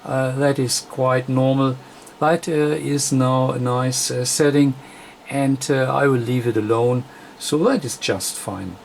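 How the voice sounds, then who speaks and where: A man who speaks as a walks through a technical demonstration off the cuff. His delivery is slow and hesitant, broken into short phrases, at a medium pitch. Light background noise sits behind the voice. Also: steady tone, gravelly. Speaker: radio repair technician presenting a tutorial. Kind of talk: unscripted bench demonstration commentary.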